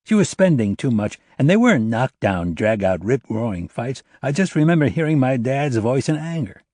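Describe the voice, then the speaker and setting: A man's voice: mid-range voice, big pitch swings, moderate pace, warm tone, dry recording, studio quality, voice pushed up. A man voicing a defensive, suspicious young man being questioned by police, curt and guarded, in an audiobook. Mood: guarded, conceding. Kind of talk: audiobook character dialogue.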